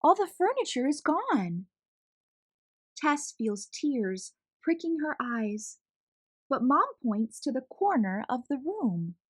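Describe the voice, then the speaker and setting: Female storybook narrator, slow and expressive, slightly breathy-husky tone. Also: high voice, no echo. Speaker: children's story reader, channel host.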